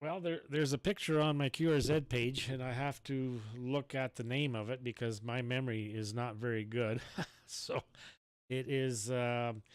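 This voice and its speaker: A man chatting casually as an amateur radio operator, talking in a halting, rambling way. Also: mid-range voice, smooth, dry recording. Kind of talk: casual chat over ham radio.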